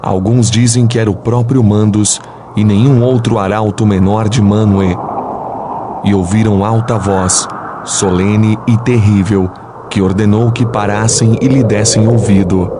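A man's voice: steady tone, unhurried. A man reading a solemn literary narration from a script. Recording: clear background noise, ambient rumble.

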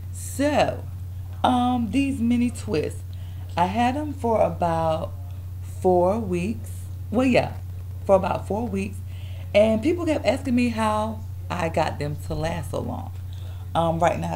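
A young woman, her voice hoarse and raspy from yelling, talks through a hair tutorial at an unhurried pace. Her voice sits a little low for a woman. She is recorded on a close webcam in a dining room, with a faint hum behind her. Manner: chatty, rambling, with fillers and restarts. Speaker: vlogger. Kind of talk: casual hair tutorial chat. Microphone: webcam at close range.

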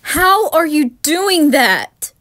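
A woman playing a terrified young woman trapped at home, intense, pleading and often hushed, in a horror story. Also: high voice, sing-song, very slow, exaggerated voice. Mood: angry, panicked. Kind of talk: dramatised horror story reading.

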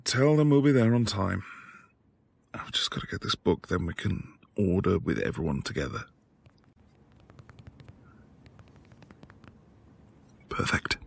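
A man performs a quiet, devoted husband in a romantic audio roleplay. His voice is low and very gravelly, with breath close to a whisper. He talks slowly and intimately, teasing and flirting with tender affection. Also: a little hiss or hum, exaggerated voice. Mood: casual. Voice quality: breathy, husky, often dropping to a whisper.

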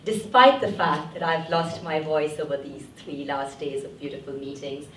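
Hoarse young woman giving a rousing address. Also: sits low, steady tone, moderate pace, slight background noise. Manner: rousing, rising to loud declamation. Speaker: moderator. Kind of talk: moderating, then an impassioned address. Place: conference room, standing before the panel. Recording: roomy hall from a distant mic.

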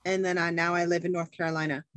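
A woman's voice: lowish voice, level tone, fast, fairly bright tone, no room sound. Midwestern American woman, enthusiastic teacher, dry close-miked webcam audio. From Chicago, USA. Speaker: English teacher. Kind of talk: video call conversation. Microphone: video call webcam.

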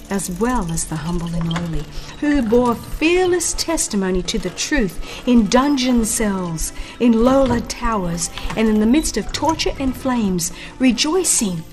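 Slow, solemn woman narrating over music. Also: on the high side, darkish tone. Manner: solemn, measured, deliberate phrasing. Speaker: audiobook narrator. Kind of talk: dramatized audiobook narration of religious history, scripted. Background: background music.

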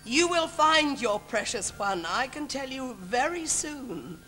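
A woman playing a kindly, forgetful fairy godmother, declaiming rhyming verse deliberately on a pantomime stage. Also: high-pitched, very animated, pushed and bright, faint music behind, heavily acted. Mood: grand, soothing. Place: large theatre stage, indoors.

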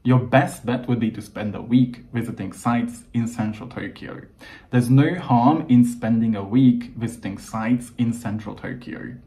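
A man's voice: mid-range voice, a little slow, dark tone. Hungarian-accented man coaching English to camera. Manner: earnest, emphatic, gestures a lot with hands. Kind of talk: scripted YouTube lesson to camera. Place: small home room indoors.

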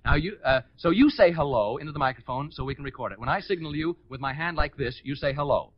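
An American man hosting a live 1940s radio game show with excitable, hurried patter from the stage. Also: fairly high pitch. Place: on stage before a studio audience. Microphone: stage microphone. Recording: dull 1940s radio recording.

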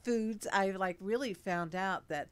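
A woman's voice: medium pitch, unhurried, smooth. A woman in her late sixties, an actress, chatting animatedly in an interview at a studio mic, with many fillers. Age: 60s. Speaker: actress guest. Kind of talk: casual interview chat. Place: studio with dark curtains and blue lights. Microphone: studio mic on a stand.

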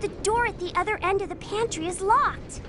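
Lady doing scared little kid in a game, very high plaintive voice, train noise behind. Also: normal speed, fairly bright tone. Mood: timid. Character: timid, cautious child passenger. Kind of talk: video game cutscene acting. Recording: noisy train ambience behind.

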